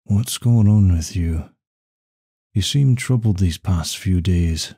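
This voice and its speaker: Devoted CEO boyfriend played by a male actor, dark mellow tone, very slow and intimate ASMR roleplay. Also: low-pitched, breathy edge, full character voice. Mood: calm, tender. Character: devoted, secretive male CEO romancing his secretary. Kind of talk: intimate ASMR roleplay acting, one side of a dialogue.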